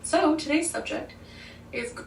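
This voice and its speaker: A woman's voice: high-pitched, normal speed, echoey room, far from the mic, a little hiss or hum, rough recording. A young woman from Ottawa talking casually to camera in a sit-down vlog, rambling a bit with lots of "like" and small laughs. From Ottawa, Canada. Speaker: vlogger.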